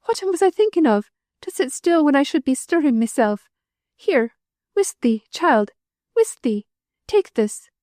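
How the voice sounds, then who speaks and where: A woman plays a sickly poor wife from a Northern mill town, speaking in a thick dialect. Her voice climbs high and swings wildly as querulous complaint turns to frantic pleading. The tone is mellow and dry, recorded close. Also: animated, measured pace, dry recording. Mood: frantic grief. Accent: Northern English dialect put on. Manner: querulous, rising into wild distraught pleading.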